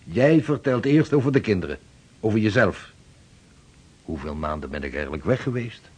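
An actor playing a devout, driven sixteenth-century Dutch ship's captain in a radio play. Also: male voice, on the low side, animated, normal speed, a little mellow, husky. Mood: earnest, evasive. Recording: noisy old radio play recording.

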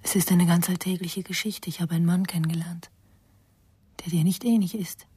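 A woman's voice: fairly low pitch, steady tone, a little fast, warm tone, slightly rough, dry recording. A woman playing a weary, reproachful partner in a crime radio play, speaking with restraint. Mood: resigned.

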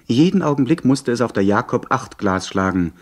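An actor playing an earnest young gentleman who narrates his pirate adventure in a radio play, in measured, literary sentences. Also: mid-range voice, expressive, close to an everyday voice. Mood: tense.

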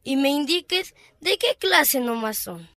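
A woman's voice: high-pitched, a little slow, dry recording, studio quality. A woman playing an eager, pleading little boy in a radio drama. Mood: pleading, excited. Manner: excitable, pleading. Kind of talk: amateur radio drama acting.

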